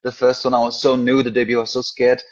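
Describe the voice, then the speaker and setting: A man's voice: mid-range voice, completely flat delivery, fast, lo-fi sound. A man from Europe, a guest author talking casually in an interview, chatty and laughing often.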